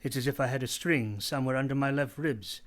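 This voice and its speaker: A man playing a brooding, teasing gentleman master in love, with formal, theatrical delivery in a radio play. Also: medium pitch, a little fast, lightly acted. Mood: tender.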